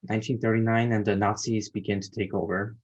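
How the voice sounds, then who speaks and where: A man's voice: on the low side, flat delivery, quick pace, muffled recording. A man moderating an interview, asking questions and responding conversationally.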